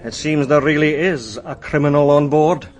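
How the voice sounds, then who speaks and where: An actor playing a knowing surgeon passenger who speaks in a measured, confiding way, in a radio drama. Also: male voice, fairly high pitch, close to an everyday voice.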